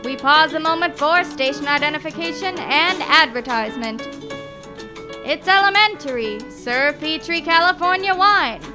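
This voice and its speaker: Female narrator, high register, scripted vintage radio announcing, music bed behind. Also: lively intonation, moderate pace.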